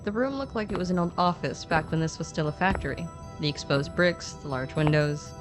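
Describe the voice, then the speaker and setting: A woman's voice: on the low side, fairly quick, music in the background. A woman co-narrating a fiction podcast, telling a scripted story in short, descriptive, conversational phrases.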